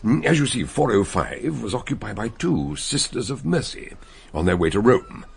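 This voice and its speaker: An actor playing a genial, chuckling detective who also narrates a radio drama, with a gravelly, husky voice. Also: male voice, medium pitch, very animated, moderate pace, exaggerated voice. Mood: amused. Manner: genial, chuckling, fond of long words. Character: Dr Gideon Fell, jovial older male detective narrator.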